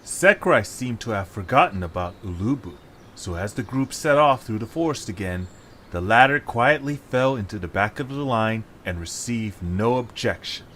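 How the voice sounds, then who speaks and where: Casting: male storybook narrator, fairly low voice, scripted delivery, faint background noise on a home recording. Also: lively intonation, moderate pace, a bit bright.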